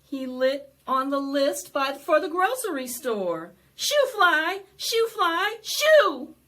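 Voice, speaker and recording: Animated high-voiced woman leading preschool storytime. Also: takes it slowly. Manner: animated, sing-song, coaxing young listeners to join in. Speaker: children's storytime presenter at a library. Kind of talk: interactive preschool storytime, singing and read-aloud.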